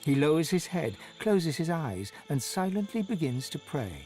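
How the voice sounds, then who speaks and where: A man acting as the narrator of a fantasy game, telling scenes in an expressive storybook style. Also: fairly high pitch, moderate pace, music in the background. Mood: gentle, wry. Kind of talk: scripted second-person narration.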